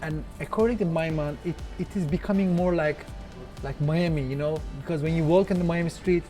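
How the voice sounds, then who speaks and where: A man from Turkey, a native Turkish speaker with a Turkish accent, answering interview questions as a local guest in halting English with many pauses and restarts. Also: on the high side, warm tone, soft background music. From Turkey, Antalya.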